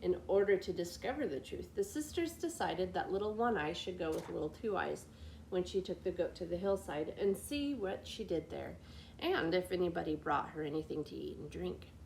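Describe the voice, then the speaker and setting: A woman reading a children's fairy tale as a storyteller, with expressive scripted narration. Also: lowish voice, normal speed, vocal fry, a little hiss or hum, rough recording. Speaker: library storyteller.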